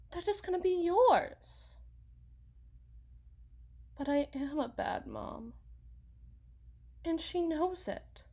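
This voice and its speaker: A woman playing an anguished, guilt-ridden mother who confides haltingly and dramatically in an ASMR roleplay monologue. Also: high voice, a little mellow. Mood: guilty, despairing.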